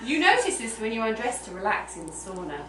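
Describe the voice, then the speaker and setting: A woman's voice: a little high, big pitch swings, fairly quick, a bit bright. Woman reading a story, distant and roomy. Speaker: reader. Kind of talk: live storytelling reading to a small audience. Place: room at a small gathering with a laughing audience. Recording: noisy roomy live recording, distant mic.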